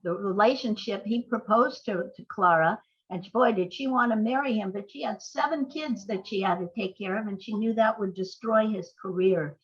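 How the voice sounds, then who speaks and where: An older woman talking as an interview guest, telling stories conversationally in halting, reflective phrases. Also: mid-range voice, slightly rough, muffled recording. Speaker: playwright and screenwriter guest.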